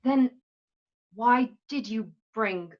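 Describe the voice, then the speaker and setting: A woman's voice: a little high, highs cut off. An actress playing a commanding, formally spoken woman leader in a Western radio drama. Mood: questioning. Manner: formal, deliberate.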